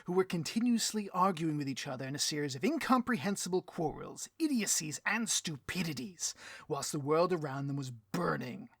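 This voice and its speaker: A man playing a vain, easily riled supervillain boss in a comedic audio roleplay, grandiose and melodramatic, with a husky voice. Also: fairly high pitch, animated, moderate pace, no echo, studio quality. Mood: bombastic. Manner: grandiose, melodramatic, petulant speechifying.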